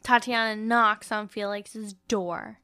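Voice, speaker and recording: A woman's voice: mid-range voice, level tone, slow pace, sharp tone. A woman co-hosting a podcast, casually and playfully retelling a book and dramatizing as she goes. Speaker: podcast co-host recapping a novel. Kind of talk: casual book-recap chat with read-aloud dialogue.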